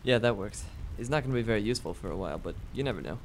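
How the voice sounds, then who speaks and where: A man's voice: lowish voice, expressive, very fast. Wry, nerdy teenage boy, noisy recording, man acting. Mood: wry, calm. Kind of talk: radio drama acting.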